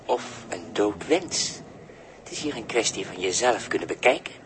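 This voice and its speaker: A man acting a gruff, unsympathetic sailor, curt and dismissive, in a 1960s radio drama. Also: fairly low pitch, animated, moderate pace, natural voice. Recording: noisy 1960s radio recording.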